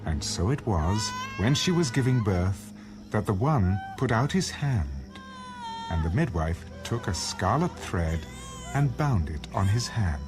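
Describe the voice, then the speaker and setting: Low, expressive male Bible narrator. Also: unhurried. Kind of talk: dramatic scripted narration. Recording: music under the voice.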